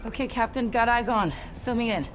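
Woman acting a quippy young superhero, higher voice. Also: a little fast, natural voice. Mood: focused. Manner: wisecracking, earnest. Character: young, quippy, determined masked city superhero. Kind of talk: video game voice acting. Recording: loud music over the voice. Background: loud game music.

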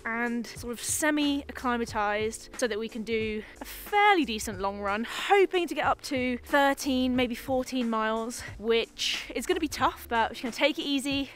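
A woman's voice: on the high side, fairly bright tone. A young woman in her twenties, chatty and upbeat, vlogging to a handheld camera at arm's length and laughing easily. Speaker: vlogger and runner. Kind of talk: casual vlog to camera. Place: outdoors in snowy streets and indoors at home.

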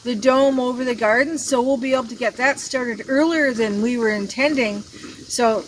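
A woman's voice: fairly high pitch, moderate pace, a little hiss or hum, poor audio. An older woman co-hosting a casual vlog, agreeable and a bit hesitant as she chats. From Canada. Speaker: channel co-host. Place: outdoors at a night campfire in the woods.

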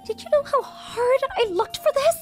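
A woman's voice: very high voice, lively intonation, normal speed, soft background music. A woman voicing a clingy, jealous, lovestruck teenage girl in an anime-style romantic comedy dub, with emotional, dramatic delivery. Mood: jealous, frantic.

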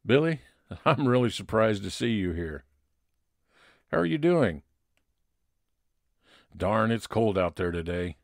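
A friendly police detective acted by a man in an old-time radio drama. He chats familiarly in a low voice, recorded dry. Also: sing-song, slow pace, pauses often, no room sound, heavily acted. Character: Detective Eddie, friendly chatty male police detective.

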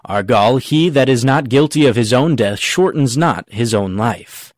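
A man's voice: mid-range voice, animated, unhurried, dry recording, clean studio sound, natural voice. A man playing a cocky, quibbling rustic gravedigger. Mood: pedantic, argumentative. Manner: pedantic, jokey mock-logical argument. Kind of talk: dramatic audiobook reading of Shakespeare.

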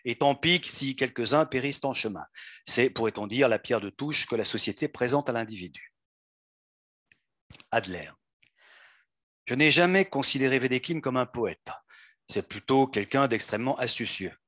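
Man, reader at a public event, mid-pitched voice, measured delivery, handheld mic, dry sound. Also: fairly bright tone, dry recording. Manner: measured, deliberate reading. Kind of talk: reading texts aloud at a public event. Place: conference room. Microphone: handheld microphone.

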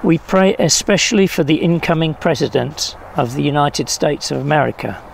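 An older man solemnly reading prayers at a church service in measured phrases, recorded outdoors. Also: fairly high pitch, vocal fry. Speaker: prayer leader. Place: outdoors in a leafy field or garden. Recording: faint outdoor background noise.

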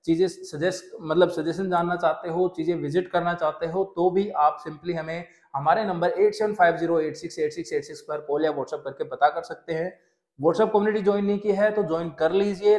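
Chatty young man, slightly high voice. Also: a little mellow. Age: young adult. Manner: chatty, mixes English phrases, many "jo hai" fillers. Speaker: real estate channel host. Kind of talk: casual Hinglish property update to camera. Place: indoor studio set with shelves. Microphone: lapel mic. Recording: roomy echo.

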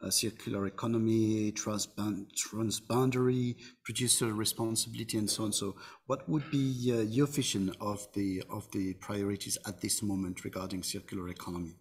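A man moderating an online panel discussion, hesitant and filling pauses often. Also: lowish voice, fairly even intonation, husky. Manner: hesitant, many fillers.

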